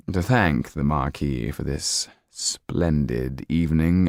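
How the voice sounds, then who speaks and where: A man voicing a suave, courtly Count with formal, drawn-out speech. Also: very deep voice, vocal fry, a little mellow, no room sound, studio quality, full character voice.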